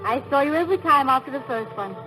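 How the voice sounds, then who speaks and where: An actress playing an affectionate English former stage star, a playful and refined wife, in an old-time radio drama. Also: female voice, high voice, animated, fast talker, music in the background. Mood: fond, teasing. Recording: noisy vintage radio recording.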